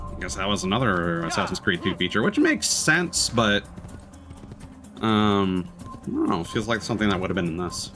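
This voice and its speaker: A man's voice: on the low side, expressive, normal speed. A man giving casual let's-play commentary as a game streamer, thinking aloud in a hesitant way. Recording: game music behind the voice. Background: video game music.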